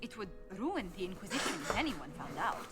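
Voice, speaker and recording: A woman's voice: mid-range voice, very animated, fairly quick, over loud music, natural voice. A woman playing a poised, confident, playful lady ambassador in a video game, teasing as she talks. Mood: playful, smug. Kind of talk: video game dialogue acting.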